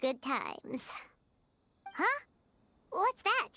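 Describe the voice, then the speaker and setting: A woman voicing a small, childlike fairy companion in a video game, curious, chatty and excitable, with a squeaky voice. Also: extremely slow, dry recording, heavily acted. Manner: excitable, speaks of self in third person. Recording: music behind the voice.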